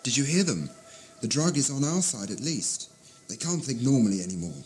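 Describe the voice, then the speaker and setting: An actor playing a wary, defiant alien village rebel in a science fiction audio drama, speaking in a terse, suspicious manner. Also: male voice, medium pitch, big pitch swings, fairly quick, a little mellow, slight background noise, lightly acted. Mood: grim, urgent.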